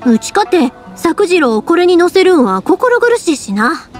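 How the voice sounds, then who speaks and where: A cheerful young woman who makes fireworks, talking in a Kansai accent. Her voice sits high and swoops lively up and down. She teases her friends with warmth, with quiet music under the game scene. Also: normal speed. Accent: Kansai dialect. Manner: lively, teasing, warm-hearted. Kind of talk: video game voice acting. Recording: game audio with soft music.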